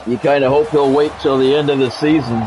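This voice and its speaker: A man's voice: mid-range voice, fairly even intonation, a little fast, music in the background, poor audio. A middle-aged man hosting a livestream, mixing casual chat with announcer-like football play-by-play commentary. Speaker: livestream host, game commentator.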